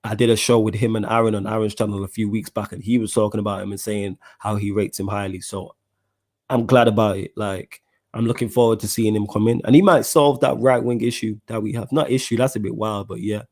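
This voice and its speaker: A man's voice: lowish voice, steady tone, a little fast, a little mellow. A young man with a Mancunian accent hosting a casual football podcast, chatting in slang and answering viewer comments. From Manchester, England. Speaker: podcast host.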